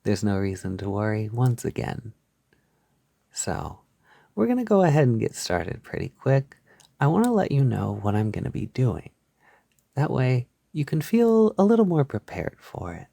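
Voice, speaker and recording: A man leading a soft-spoken guided hypnosis, soothing and reassuring, gently repeating his phrases on a close mic. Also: mid-range voice, big pitch swings, normal speed, creaky, dry recording. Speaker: hypnosis audio creator guiding the listener.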